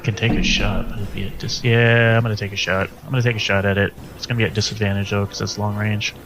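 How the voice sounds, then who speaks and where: A man's voice: fairly low pitch, little pitch movement, fast, over music. A man speaking casually as a player in a tabletop role-playing game.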